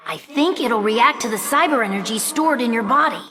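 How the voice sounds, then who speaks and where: A woman's voice: a little high, animated, normal speed, crowd noise, close to an everyday voice. A woman voicing an earnest, brainy young fox boy sidekick in a video game, with a youthful, articulate way of talking. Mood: focused, curious. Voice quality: youthful, boyish. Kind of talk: video game character voice lines.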